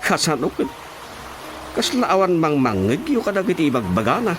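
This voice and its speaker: Animated scolding village chairman. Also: fairly high pitch, normal speed. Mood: exasperated. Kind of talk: radio drama acting in Ilocano. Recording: music playing behind the voice. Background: prominent background music.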